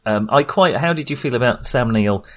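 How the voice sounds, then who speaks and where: A man's voice: mid-range voice, expressive, moderate pace. Male podcast co-host, mid-range voice, informal and conversational, discussing films. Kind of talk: casual film discussion.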